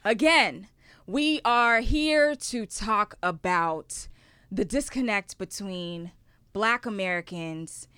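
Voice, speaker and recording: Young female podcast host, bright and forceful tone, playful and chatty, dry close sound. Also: medium pitch, animated, clear, resonant tone, no echo. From Houston, Texas, raised in Ghana. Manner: playful, quick quiz questions, then reflective storytelling. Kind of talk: casual podcast chat.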